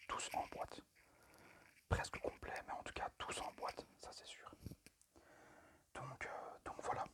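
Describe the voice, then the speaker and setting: A man speaks in a breathy whisper, with almost no voice behind the words. His ASMR presentation is amateur and tentative, halting often as he goes. The manner is apologetic and unpolished. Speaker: amateur ASMR video creator.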